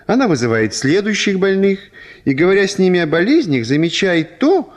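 An actor narrating a literary radio play as an expressive, wry storyteller. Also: male voice, high-pitched, brisk.

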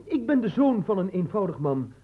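An actor playing a modest, earnest young commoner in a radio drama, speaking politely and deferentially. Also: male voice, sits high, sing-song, moderate pace, breathy edge, phone-quality sound, heavily acted.

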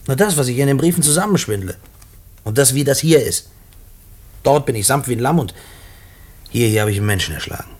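An actor in a German radio play playing a gruff, despairing convict who speaks colloquially and haltingly. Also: male voice, medium pitch, expressive, a little mellow. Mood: despairing, agitated. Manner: colloquial, halting, repeating himself when agitated. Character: gruff, despairing convict writing letters to his mother.

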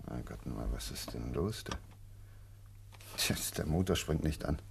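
An actor playing a practical, protective doctor in a German radio play, speaking in a matter-of-fact but urgent manner. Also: male voice, rumbling low, expressive, moderate pace, creaky, heavy background noise, full character voice. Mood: perplexed. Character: practical male doctor, level-headed, protective, wary.

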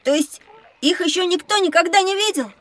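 A woman voicing a curious, excitable young child in a children's educational audio drama, eagerly questioning and exclaiming. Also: extremely high pitch, a little fast. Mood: excited.

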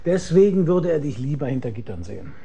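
Dry, sardonic private detective. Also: male voice, fairly high pitch, sing-song, gravelly, muffled recording, a crowd in the background. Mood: smug explaining. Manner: dry, mocking, deliberate storytelling. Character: sardonic, shrewd private detective who tells the story himself. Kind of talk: German radio crime drama acting with first-person narration.

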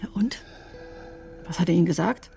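A woman's voice: fairly low pitch, moderate pace, vocal fry, mellow, soft background music. An actress playing a defensive, conscience-stricken woman doctor in a radio drama, recounting events in a precise, clinical way. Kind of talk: German radio drama acting, testimony-like narration.

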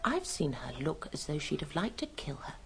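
A woman's voice: low voice, sing-song, moderate pace, vocal fry, a little hiss or hum, full character voice. A woman playing a plain-spoken, proper nurse in a radio drama, with an English accent and a confiding, frank manner. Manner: confiding, hesitant, then frank.

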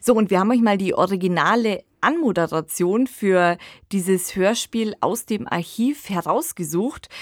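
Woman, slightly low voice, conversational podcast host, dry recording. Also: no room sound. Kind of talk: conversational discussion of radio plays.

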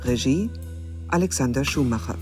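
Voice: mid-range voice, expressive, moderate pace. An announcer reading radio drama credits in a scripted style. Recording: studio voice over plucked guitar music.

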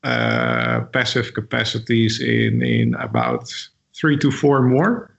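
A man whose first language is Dutch, answering interview questions in English. His voice has a gravelly edge, and he talks haltingly, full of fillers and restarts. The audio sounds dull and lo-fi. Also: mid-range voice, wildly swooping pitch, fairly bright tone. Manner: hesitant, many fillers and restarts. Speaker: interview guest. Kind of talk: casual interview conversation.

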